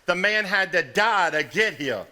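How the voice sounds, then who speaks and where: A man's voice: high voice, animated, measured pace, pushed and bright, full character voice. A man playing a contrary, blunt elder brother from Southern gentry in a stage drama. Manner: argumentative, drawling, blunt. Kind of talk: live stage drama acting.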